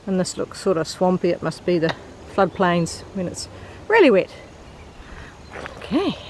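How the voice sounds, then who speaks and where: A woman's voice: fairly low pitch, sing-song, unhurried, a little mellow, a little hiss or hum. A woman with a mild New Zealand accent, chatting casually to her camera while walking a bush trail outdoors, laughing often. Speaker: hiker vlogger. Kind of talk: casual narration while hiking.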